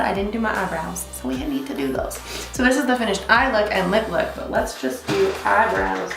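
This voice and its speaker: A woman's voice: mid-range voice, gravelly, fairly bright tone. A young woman giving a casual, chatty beauty tutorial in an effusive, rambling way, as host. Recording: roomy echo, music behind. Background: music.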